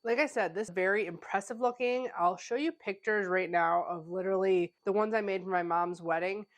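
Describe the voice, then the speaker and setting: A woman's voice: mid-range voice, a little fast. A woman who is a pastry chef hosting a casual home-kitchen cooking tutorial, a little stuffy from a cold, chatting with plenty of "like" fillers. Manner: chatty, conversational, with frequent "like" fillers. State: stuffy, getting over a cold.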